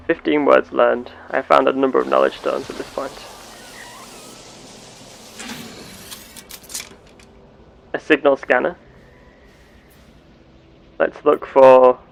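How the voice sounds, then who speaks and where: A man playing a genteel space captain and explorer, speaking in formal, measured, old-fashioned wording as he narrates a game in character. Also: medium pitch, flat delivery, gravelly, faint music behind. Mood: musing.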